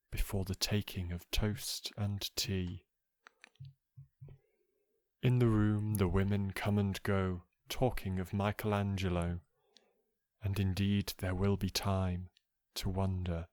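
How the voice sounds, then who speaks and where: A man reading poetry in a soft voice, with a measured, hushed delivery and deliberate pauses, meant for relaxation. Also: low-pitched, no echo. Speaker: poetry reader.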